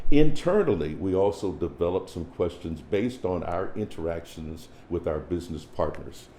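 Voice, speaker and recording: A man's voice: deep voice, mellow, slight background noise. A man moderating a panel discussion, introducing guests with eager emphasis. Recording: roomy echo with faint ambience.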